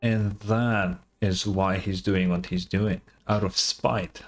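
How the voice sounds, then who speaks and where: A man's voice: sits low, fairly even intonation, takes it slowly, soft background music. A man giving casual game reaction commentary in short, laid-back muttered remarks with some swearing.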